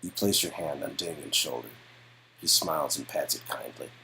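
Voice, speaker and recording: A man reading scripted dramatic narration in a quiet, measured storytelling style. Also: very deep voice, creaky, a little hiss or hum, poor audio. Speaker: narrator and performer.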